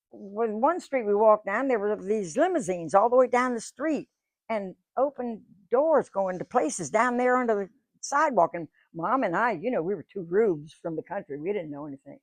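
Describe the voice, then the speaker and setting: An older woman in her nineties tells her life stories to an audience in a folksy, chatty way. Her voice sits at a middle pitch, and she acts out the lines people said. The recording is dry, with no room echo. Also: expressive, moderate pace. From Georgia, USA. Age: 90s. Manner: folksy, chatty storyteller who acts out quoted dialogue. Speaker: guest speaker. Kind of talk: storytelling to a live audience. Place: museum event room.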